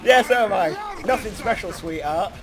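A young British man giving casual, excitable, joking gameplay commentary as the host of a gaming video. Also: high-pitched, normal speed, crowd noise. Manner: excitable, jokey banter. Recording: home recording with clear background noise.

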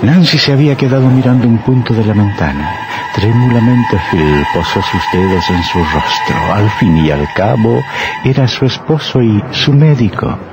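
An actor playing a sinister narrator, telling a radio horror tale in a theatrical scripted style. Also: male voice, lowish voice, animated, moderate pace, loud music behind the voice. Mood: sinister, dramatic. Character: dramatic male narrator of sinister horror tales. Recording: noisy old radio drama recording.

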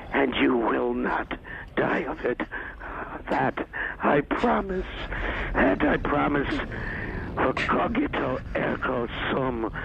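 A man's voice: on the high side, vocal fry, exaggerated voice. A man playing a hate-filled, godlike supercomputer in a radio drama, savouring each word theatrically. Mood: gloating menace. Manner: theatrical, savouring, drawn-out speech with sinister laughter. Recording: muffled, noisy radio play recording.

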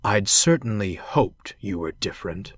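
Man as a sly, slow-talking scheming diesel engine. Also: on the low side, animated, a bit bright, husky, no room sound, clean studio sound, exaggerated voice. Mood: low, cutting. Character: scheming, smug, surly male diesel engine.

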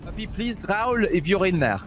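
A male gamer banters in roleplay with a lively, slightly high voice. He is playfully earnest and repeats his phrases, with faint music behind. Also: moderate pace. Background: faint music, game vehicle sounds.

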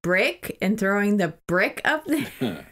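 A woman's voice: medium pitch, huge pitch swings, takes it slowly, fairly bright tone. A woman co-hosting a podcast, chatting casually about books in an irreverent, freely swearing way. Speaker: podcast co-host. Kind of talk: casual book-discussion chat.

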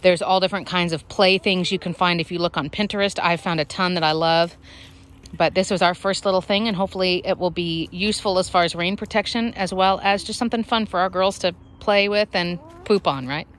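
A woman's voice: fairly even intonation, fairly quick, a bit bright. A woman with children chatting casually while filming her chickens outdoors on a phone, explaining how things are built. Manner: chatty, explains while calling hens in a high sing-song. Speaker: backyard chicken vlogger. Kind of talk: casual outdoor show-and-tell. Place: backyard chicken run outdoors. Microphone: handheld phone camera.

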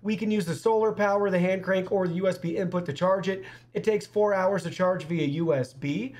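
A man's voice: high-pitched, brisk, darkish tone. A young man presenting a product review in an upbeat, presenterly way in a small studio. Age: young adult. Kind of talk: scripted product review to camera.

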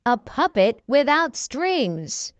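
A greedy, brutish showman drawing out his words in slow snarls, in a storybook reading as a woman puts him on. Dry, close sound with lively pitch. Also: no echo, heavily acted.

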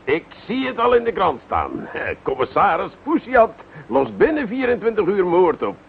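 An actor playing a pompous, bumbling, self-satisfied police commissioner in a radio play, muttering and blustering self-importantly. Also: male voice, high-pitched, big pitch swings, normal speed, vocal fry, heavily acted. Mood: gloating. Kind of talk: radio drama acting. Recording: muffled, noisy old radio recording.

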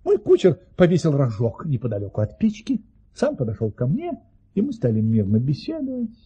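An actor playing a genial baron who spins tall tales in an audio play, savouring them with dramatic pauses. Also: male voice, fairly high pitch, wildly swooping pitch, mellow, highs cut off, exaggerated voice. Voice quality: husky.

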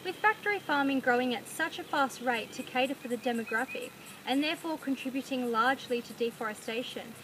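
A woman's voice: high voice, fairly quick, low-quality recording. A young woman, a university student, presenting a scripted report outdoors, read formally to a camera a few metres away. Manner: reads a prepared script in a formal, even way. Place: outdoors by a rural paddock. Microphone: camera mic from a few metres away. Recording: loud outdoor ambient noise.

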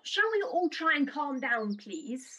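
Woman doing a fussy timid panto spinster, high flustered voice, all over the place. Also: big pitch swings, measured pace, a bit bright, recorded from a distance, full character voice. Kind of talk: radio pantomime acting.

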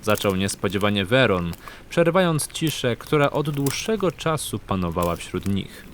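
A man's voice: lowish voice, big pitch swings, normal speed, a little hiss or hum. A man narrating a fantasy audio drama in a scripted, dramatic storytelling style.

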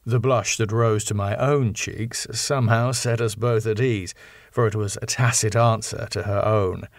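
A man's voice: fairly low pitch, no echo, studio quality. A man reading a classic novel as scripted, dramatic audiobook narration. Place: quiet dry recording space.

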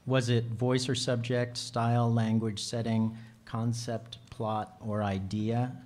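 A man's voice: medium pitch, little pitch movement, takes it slowly, a little mellow. Joking male panel moderator. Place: large conference hall.

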